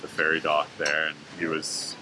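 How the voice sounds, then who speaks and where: A man in his forties from Canada tells a travel story in an easygoing, animated way. His voice sits very low and relaxed. It's recorded outdoors on a small clip-on mic with clear background noise. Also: moderate pace. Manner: relaxed, chatty, animated storyteller with lively asides. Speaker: adventure vlogger. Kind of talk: casual travel vlog storytelling. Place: outdoor seaside cafe and on the water in a kayak.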